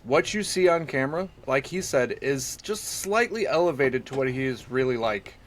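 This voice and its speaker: A man's voice: mid-range voice, animated, moderate pace. A man with a soothing voice, co-hosting a casual podcast and talking in a friendly, supportive way. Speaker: podcast co-host.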